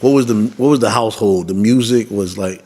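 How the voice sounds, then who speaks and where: Ny guy hosting an interview, lowish voice, kinda rambles his questions. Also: a little fast, warm tone. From the Bronx. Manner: loose, searching phrasing with restarts. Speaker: podcast host. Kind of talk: casual interview.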